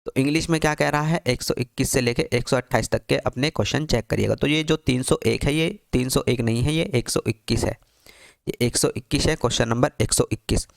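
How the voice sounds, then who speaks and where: A man with a gravelly edge to his voice explains an answer key step by step. He keeps asking a question aloud, answers it himself and repeats the point. He is recorded close up on a dry, deadened mic. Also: mid-range voice, no room sound. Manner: repetitive, rhetorical questions with quick answers. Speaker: exam tutor. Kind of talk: screen-walkthrough tutorial.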